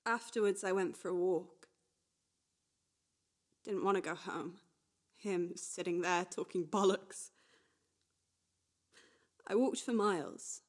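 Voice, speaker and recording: A woman's voice: fairly low pitch, slow, long pauses. A woman playing a defiant, reflective character who recalls her memories plainly in a radio play monologue. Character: defiant, reflective woman remembering a night walk.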